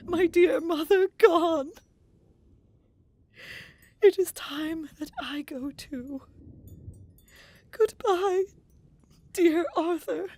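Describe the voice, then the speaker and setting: A frightened, grieving young gentlewoman, slow-spoken. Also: extremely high pitch, full character voice. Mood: tearful resignation. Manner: breathy whispers rising to tearful cries. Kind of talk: dramatised diary reading in an audio drama.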